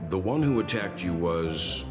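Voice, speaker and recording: Low-voiced, serious man. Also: lively intonation, a little slow, over music. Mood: measured. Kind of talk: video game dialogue.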